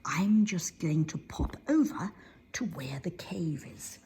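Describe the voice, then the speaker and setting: A woman voicing a kind mountain fairy who speaks calmly and turns stern when giving commands. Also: fairly low pitch, slow pace, gravelly, slight background noise, exaggerated voice. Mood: gentle. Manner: calm, then stern commands.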